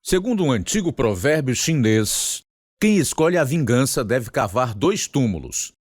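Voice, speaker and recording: A man's voice: medium pitch, sing-song, a little slow, breathy edge, no echo, studio quality. Solemn Brazilian male radio drama announcer. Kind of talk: scripted radio narration. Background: guitar music.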